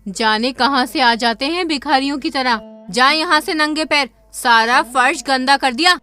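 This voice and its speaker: A man's voice: extremely high pitch, moderate pace, pushed and bright, soft background music, voice pushed up. A man voicing a boastful, spiteful middle-aged village aunt in a cartoon story, with a taunting, haughty manner. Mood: scornful.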